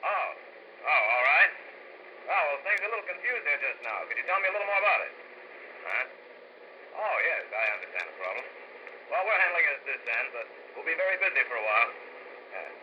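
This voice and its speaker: A man's voice: fairly high pitch, sing-song, fairly quick, pauses often. An actor playing a harried, flustered printer in a 1940s radio drama, rambling as he talks. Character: harried, flustered male print shop partner. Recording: muffled, noisy old radio recording.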